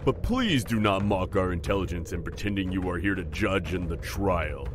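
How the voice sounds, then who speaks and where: A man's voice: low-pitched, expressive, normal speed, noisy background. A man playing a reasonable, diplomatic dwarf king in a fantasy audio drama, formal and urging order. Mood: wary.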